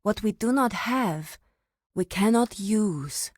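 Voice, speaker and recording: Solemn female grove warden, fantasy game, slow delivery, commanding, formal, dry close recording. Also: medium pitch, expressive, darkish tone, no echo. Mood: measured, instructive. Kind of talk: scripted fantasy game dialogue.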